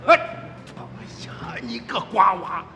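Stern old country uncle, radio play, kinda high swoopy voice, exclaims a lot. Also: male voice, big pitch swings, slow, pushed and bright, exaggerated voice. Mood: urgent, coaxing. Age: older. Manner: emphatic, exclamatory rustic way of talking. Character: stern, wily old village uncle and grandfather. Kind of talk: radio drama acting. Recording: reverberant studio drama with music.

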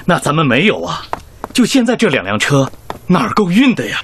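An eager, very animated doctor. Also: male voice, fairly high pitch, fairly quick, a little hiss or hum. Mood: eager, concerned. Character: eager male doctor on a medical aid team. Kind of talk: Chinese radio drama acting.

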